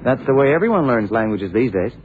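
A man's voice: mid-range voice, sing-song, fairly quick, natural voice. Muffled man acting an eager detective's sidekick. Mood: matter-of-fact. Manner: hesitant, deferential. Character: eager, apologetic male detective's sidekick, American, slow to catch on. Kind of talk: 1970s radio drama acting. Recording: muffled, noisy old radio recording.

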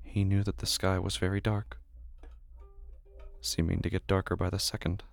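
Male horror podcast narrator, low register, intense and deliberate delivery, musical bed with plucked strings behind. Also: little pitch movement, gravelly, soft background music. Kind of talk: scripted dramatic horror narration.

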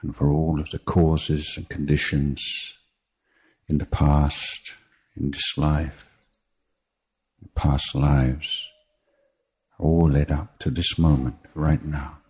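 Very deep, husky, slow-speaking man. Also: flat delivery, a little mellow. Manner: measured, with deliberate pauses between short phrases. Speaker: Buddhist meditation teacher. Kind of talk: guided meditation talk. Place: meditation retreat.

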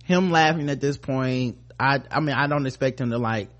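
A man's voice: medium pitch, normal speed, a bit bright. A man hosting a podcast with casual commentary, talking in a rambling, informal way full of slang and swearing. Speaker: podcast host.

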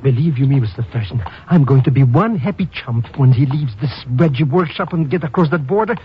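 An actor playing a cowardly, wisecracking comic sidekick, slangy, excitable and complaining, in a 1950s radio drama. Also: male voice, medium pitch, fairly quick, close to an everyday voice. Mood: grumbling. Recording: muffled, noisy old radio recording.

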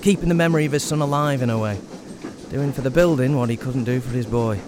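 An actor playing an earnest, courteous captain in a radio drama, polite and slightly flustered. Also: male voice, medium pitch, quick pace, noisy background, natural voice. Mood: hesitant, earnest. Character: earnest, eager English captain, courteous host's aide.

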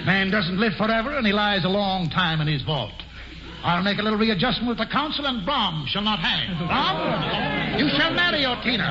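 A gruff old colonial governor. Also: male voice, sits high, big-room sound. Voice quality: gruff and commanding, shouts orders. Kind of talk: 1940s radio acting. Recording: dull lo-fi 1940s radio broadcast with orchestra.

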